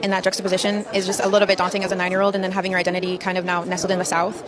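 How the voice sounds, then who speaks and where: A woman's voice: lowish voice, completely flat delivery, very fast. A woman from Jamaica, an actress, reflecting fluently on her life in an interview. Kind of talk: interview answers. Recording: crowd chatter behind. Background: crowd chatter.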